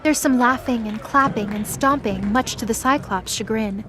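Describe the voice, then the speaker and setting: A woman's voice: on the high side, normal speed. A woman reading scripted story narration for a fantasy audio drama. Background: music.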